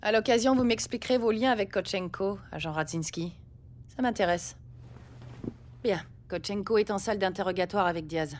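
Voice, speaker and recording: Actress, shrewd female commander, medium pitch, formal, decisive, radio drama, slight background noise. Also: moderate pace, close to an everyday voice. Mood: cool, commanding. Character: authoritative female police chief, formal and shrewd. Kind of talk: dramatic audio series acting.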